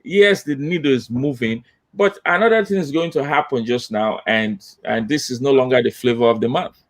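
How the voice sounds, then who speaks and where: A man's voice: mid-range voice, normal speed. Middle-aged guy on a webcam call, emphatic, keeps repeating himself to make a point. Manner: animated, gestures with hands, emphatic repetition. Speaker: diversity consultant. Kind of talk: panel discussion. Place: home office with banner. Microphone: laptop webcam on video call.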